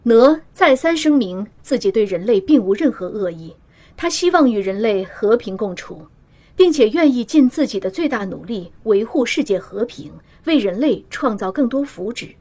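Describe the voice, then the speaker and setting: A woman playing a composed official who gives a formal, measured, earnest briefing in a radio drama. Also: fairly high pitch, animated, a little mellow. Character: composed female official chairing an emergency summit.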